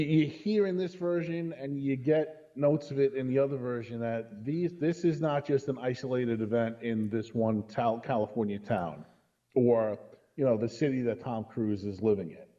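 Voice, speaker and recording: A man's voice: mid-range voice, normal speed, warm tone, highs cut off. A middle-aged man talking casually about films in a blunt, emphatic way, given to swearing. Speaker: podcast guest co-commentator. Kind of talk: casual film discussion.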